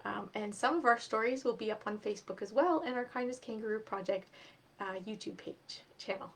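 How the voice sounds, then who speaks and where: A woman's voice: mid-range voice, a little fast. A woman hosting a children's storytime, talking to viewers and reading a story aloud expressively. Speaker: storytime host and reader.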